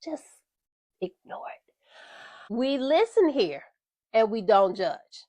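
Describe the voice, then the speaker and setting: A woman's voice: on the high side, big pitch swings, takes it slowly, warm tone, no echo. A congested woman with a slightly stuffy voice, hosting a frank storytime podcast and addressing listeners directly. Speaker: podcast host. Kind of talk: storytime monologue.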